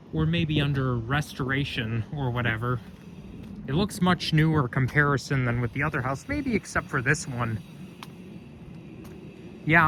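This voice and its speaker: A young man with a loud voice, rambling and correcting himself as he talks through a casual walking vlog, recorded on a phone camera outdoors. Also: on the high side. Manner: rambling, chatty, self-correcting. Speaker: vlogger. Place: outdoors on a street. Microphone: phone camera held while walking. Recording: outdoor street noise.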